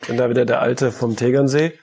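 A man with a husky, slightly breathy voice moderating a sports talk show. He poses pointed questions with a dry, ironic edge and steers the discussion from topic to topic. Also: medium pitch, fairly even intonation, brisk, darkish tone.

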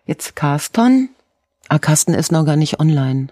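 A woman's voice: deep voice, very animated, unhurried, dark tone, no echo, clean studio sound. A woman from the with a cold, chatting casually and rambling on at length, on a video call. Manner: chatty, self-pitying, rambling. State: has a cold. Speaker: co-host. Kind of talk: casual chat.

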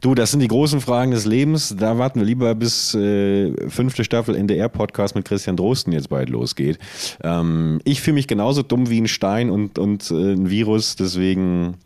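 A man's voice: on the low side, normal speed, warm tone, no echo, clean studio sound. A man co-hosting a podcast in casual chat, joking with self-deprecating irony and rambling with stumbles. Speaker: podcast co-host.

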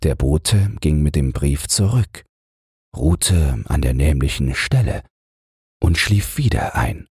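Male audiobook narrator, very husky, slow, soothing fairy-tale reading, close dry sound. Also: a bass voice, no room sound. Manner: calm, soothing storytelling. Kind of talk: scripted fairy-tale narration for falling asleep.

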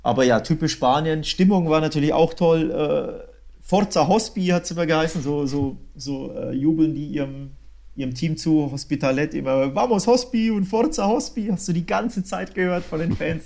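A man's voice: fairly high pitch, normal speed, creaky, a little mellow. Enthusiastic man chatting about football. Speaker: football podcast co-host. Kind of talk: casual football chat, recounting a trip.

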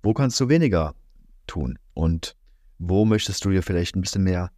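A man with a very low voice talks through a calm, reflective solo podcast, filling pauses with soft hums and agreeable asides. His voice sounds a touch strained, as if he is slightly under the weather. The sound is dry and close, with no room around it. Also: no echo. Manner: calm, musing, many fillers and "ja". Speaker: podcast host.

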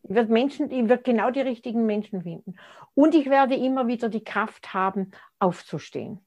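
A woman's voice: fairly high pitch, a little fast, darkish tone, lo-fi sound. A woman, speaking as an interview guest in a reflective, conversational way and often restarting her sentences as she searches for words. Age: 60s.

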